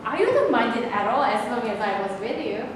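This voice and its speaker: A woman's voice: a little high, big pitch swings, normal speed. A young woman playing a polite, sweet sweetheart in a staged reading of a play. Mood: tender. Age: young adult. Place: large bare indoor room. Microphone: far camera. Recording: echoey hall, distant camera microphone, noisy.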